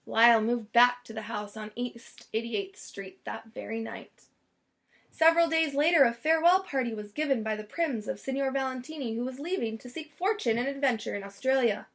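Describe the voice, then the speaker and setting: A woman reading a children's picture book aloud as storyteller, expressively and with deliberate dramatic emphasis. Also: on the high side.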